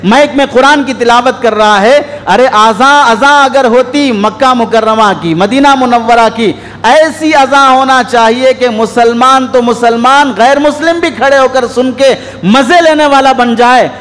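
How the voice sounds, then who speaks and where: High-pitched male preacher in an echoey hall. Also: unhurried, fairly bright tone. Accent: Indian. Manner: scolding, exhorting with rhetorical questions. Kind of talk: sermon. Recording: echoey hall with long reverb.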